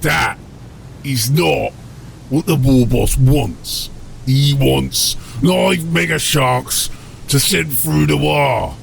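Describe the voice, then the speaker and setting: A man voicing a gruff, short-tempered ork submarine captain who barks orders in coarse, ungrammatical speech. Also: mid-range voice, drawn-out words, slight background noise, full character voice. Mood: stern, scheming. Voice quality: gravelly. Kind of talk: fantasy audiobook character acting.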